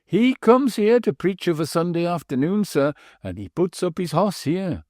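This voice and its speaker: Guy putting on a high wheezy mincing innkeeper, self-important but all sir this sir that, storybook style. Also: sing-song, moderate pace, a little mellow, dry recording, clean studio sound. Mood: deferential. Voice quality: treble, wheezy, slightly mincing. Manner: deferential, says sir constantly. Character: plump pompous village innkeeper, former butler, male.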